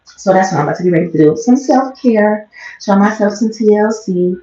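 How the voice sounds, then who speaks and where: An American woman with a slightly raspy voice, talking quietly and casually in a rambling vlog, recorded on a headset mic in a small bathroom. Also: lively intonation, a little fast, slight background noise. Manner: low-key, talking quietly. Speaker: vlogger livestreamer. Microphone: headset mic close to mouth. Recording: muffled, echoey small room.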